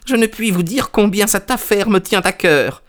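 A man's voice: sits high, big pitch swings, measured pace, pitched above the performer's own voice. A man voicing a small, nervous man in an audiobook, whining, trembling and hesitant as he pleads. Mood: anxious, pleading. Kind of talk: audiobook character voice.

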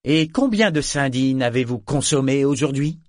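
A man voicing a needling, sharp-tongued young woman with a hoarse voice in an audiobook. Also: mid-range voice, measured pace, dry recording, studio quality. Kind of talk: audiobook character dialogue.

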